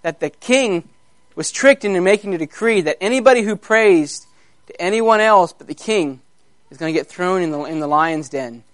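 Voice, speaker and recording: Guy playing a hungry lion sharing gossip in a kids story, plain highish voice, matter of fact. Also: expressive, normal speed, a bit bright, no echo. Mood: expectant. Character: male lion passing on rumors of a coming meal.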